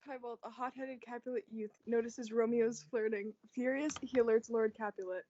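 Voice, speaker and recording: A woman's voice: a little high, fairly even intonation, moderate pace, warm tone, a little hiss or hum. A young woman reading scripted narration for a student reader's theatre play. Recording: dull lo-fi audio.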